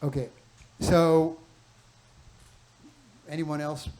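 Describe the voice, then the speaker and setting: Halting, higher-voiced male panel host. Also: little pitch movement, darkish tone, rough recording. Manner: halting, lots of fillers and restarts. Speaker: panel host, clinic doctor. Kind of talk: moderating a live health panel. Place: clinic event room.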